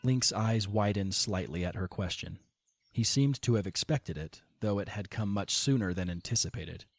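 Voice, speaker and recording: A man reading scripted story narration as the narrator of an audio drama, in a measured storytelling manner over music. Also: fairly low pitch.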